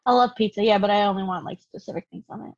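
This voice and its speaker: A woman's voice: medium pitch, steady tone, very fast, no echo, lo-fi sound. A woman co-hosting a podcast in casual, blunt chat with some swearing. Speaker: podcast co-host.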